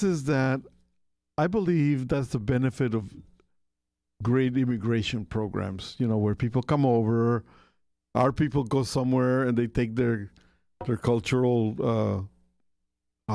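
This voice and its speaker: A man from Costa Rica hosts a relaxed radio chat, his voice carrying a gravelly rasp. He rambles along with frequent fillers and restarts. The sound is dry and close, with no room around it. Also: medium pitch, measured pace, long pauses, no echo. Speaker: radio show host. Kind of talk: casual radio talk.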